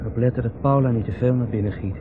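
Needling Dutch younger brother, an actor, noisy recording. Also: male voice, mid-range voice, quick pace, lightly acted. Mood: needling, doubtful. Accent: Netherlands Dutch. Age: 30s. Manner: questioning, sceptical, exasperated. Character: needling, earnest, doubting younger brother in his thirties. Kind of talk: 1970s Dutch radio drama acting. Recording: noisy, dull vintage radio recording.

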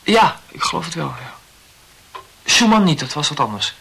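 An actor playing an earnest, awkward young medical student who talks politely and over-explains in a radio play. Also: male voice, fairly high pitch, big pitch swings, moderate pace, vocal fry, a bit bright, slightly rough, exaggerated voice. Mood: chatty, earnest.

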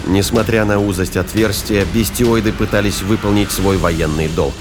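Deep-voiced man narrating dramatically. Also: fairly even intonation, moderate pace, music in the background. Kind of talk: dramatic scripted narration of science-fiction audio drama.

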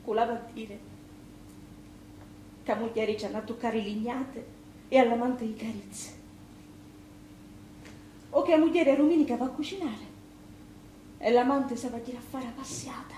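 A bitter, wounded Sicilian widow played by an actress pours out her grievances in a high, impassioned voice. Her Sicilian dialect moves between murmur and outcry on a theatre stage, in a roomy sound with faint noise. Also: moderate pace, long pauses, mellow, close to an everyday voice. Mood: anguished, resentful. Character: bitter battered Sicilian widow, youngest of three sisters.

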